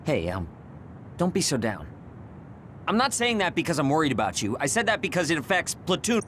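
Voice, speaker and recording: A man's voice: fairly high pitch, huge pitch swings, a little fast, fairly bright tone, a little hiss or hum. A man playing a prickly, awkward and defensive young soldier in a video game. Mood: awkward concern. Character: prickly young male soldier hiding his concern.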